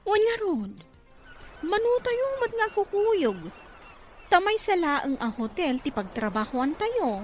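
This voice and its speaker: A woman's voice: extremely high pitch, very animated, takes it slowly, soft background music, exaggerated voice. A woman acting an eager young graduate in an Ilocano drama, speaking with an Ilocano accent. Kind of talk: amateur Ilocano radio-style drama acting.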